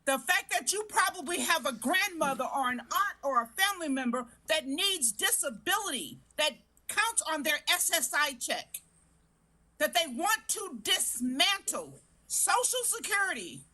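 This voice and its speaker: A woman on a live-stream panel, lecturing in a sharp, sarcastic heated debate. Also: high-pitched, sing-song, unhurried.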